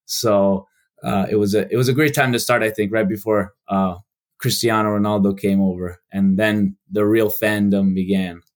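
A young man from Chicago, a podcast guest, telling stories in a casual, halting way with many restarts and fillers. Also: fairly low pitch, steady tone. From United States.

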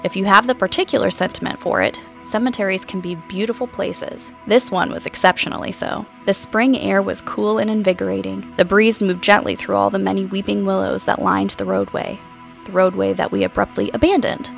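A woman playing a thoughtful, inquisitive podcast investigator, narrating an audio drama in a measured, reflective way. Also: medium pitch, steady tone, vocal fry, faint music behind.

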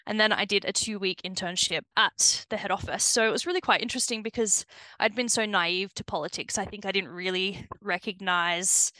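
A woman's voice: medium pitch, brisk, bright, forceful tone, dry recording, poor audio. A young woman with an Australian accent, chatting casually as a podcast guest and laughing often. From New South Wales, Australia. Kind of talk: casual interview chat.

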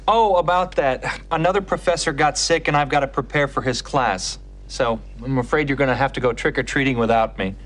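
A man's voice: medium pitch, brisk, hardly any pauses, fairly bright tone, natural voice. A man playing a protective, nerdy, dry-witted dad in a sitcom. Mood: fond.

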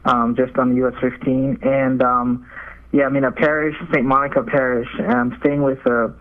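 A man's voice: mid-range voice, flat delivery, normal speed. Young man on a muffled call. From Nigeria. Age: young adult. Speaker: seminarian, podcast guest. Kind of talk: casual interview answers. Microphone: remote call.

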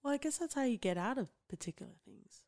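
A woman's voice: on the high side, animated, quick pace, a little mellow. Chatty young woman co-hosting a podcast. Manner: chatty, laughs often, many fillers. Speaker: podcast co-host. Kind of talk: casual chat about a TV show.

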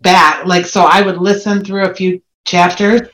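A woman's voice: on the low side, little pitch movement, moderate pace, fairly bright tone. A woman taking part in a casual group discussion, explaining her own experience informally. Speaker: book club participant. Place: indoor room on a group video call. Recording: roomy echo.

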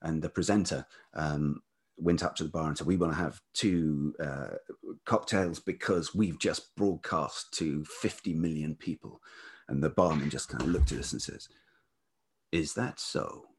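Middle-aged man, very low husky voice, halting storyteller with frequent ums, podcast guest. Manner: halting, many ums and restarts. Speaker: podcast guest, writer and producer. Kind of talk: relaxed storytelling interview.

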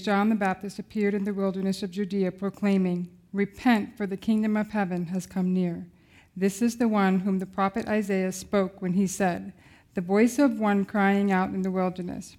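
A woman's voice: medium pitch, little pitch movement, moderate pace, darkish tone. A woman reading Bible verses aloud as scripted narration. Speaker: scripture reader.

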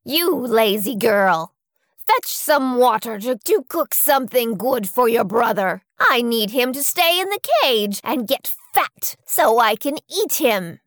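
A woman's voice: fairly high pitch, lively intonation, takes it slowly, no echo, clean studio sound, pitched above the performer's own voice. A woman voicing a mean, greedy old witch in a storybook tale, speaking in a menacing, wheedling way. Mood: bossy.

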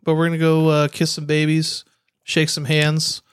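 A man's voice: on the high side, completely flat delivery, moderate pace, smooth, dry recording, clean studio sound. A man co-hosting a podcast with playful, joking casual banter. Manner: jokey, playful. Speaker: podcast co-host.

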